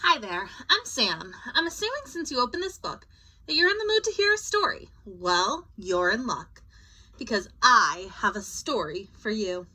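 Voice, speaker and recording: A woman's voice: fairly high pitch, big pitch swings, moderate pace, pushed and bright, slightly rough, exaggerated voice, rough recording. A woman voicing a friendly, folksy Uncle Sam who chattily and theatrically addresses young readers of a storybook. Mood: jovial. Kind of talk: storybook character voice.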